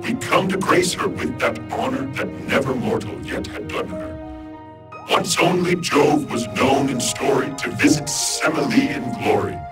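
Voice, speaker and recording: A man's voice: mid-range voice, close to an everyday voice. A man plays a grand, godlike emperor in an audio farce. He declaims verse with pompous ceremony, echoing and slightly distant, with strong music behind him. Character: grand godly emperor, stately and majestic.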